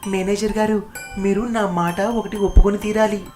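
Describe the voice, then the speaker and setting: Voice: medium pitch, flat delivery, normal speed, darkish tone, faint music behind, voice pushed up, lightly acted. A narrator voicing an earnest veteran male train driver who pleads insistently in a cartoon story. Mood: earnest pleading.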